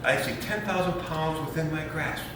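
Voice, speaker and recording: A man's voice: on the high side, moderate pace, lightly acted. An actor playing a pompous, scheming lawyer and new father in a stage comedy reading, blustering in grand emphatic phrases. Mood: aggrieved. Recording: echoey noisy hall from far off.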